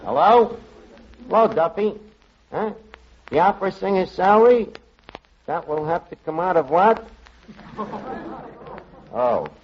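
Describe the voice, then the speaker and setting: An actor playing a scheming, wisecracking tavern manager in a 1940s radio comedy. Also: male voice, sits high, big pitch swings, unhurried, long pauses. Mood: exasperated. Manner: wisecracking, mangled phrases. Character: fast-talking, scheming tavern manager who mangles his words. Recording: dull, noisy vintage radio recording.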